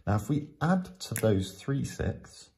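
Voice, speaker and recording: A man teaching in a step-by-step tutorial, explaining patiently with frequent pauses. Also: medium pitch, very animated, vocal fry, warm tone. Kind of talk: step-by-step maths tutorial narration.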